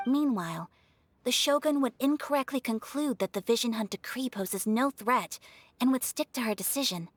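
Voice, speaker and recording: An actress playing a calm, thoughtful young noblewoman who speaks in a measured, formal way. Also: on the high side. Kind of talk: game cutscene dialogue.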